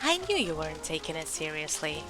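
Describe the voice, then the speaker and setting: A woman's voice: low-pitched, sing-song, a little fast, music in the background. A woman acting in an audio drama as an earnest young believer in ghosts, telling her story with dramatic emphasis. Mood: defensive.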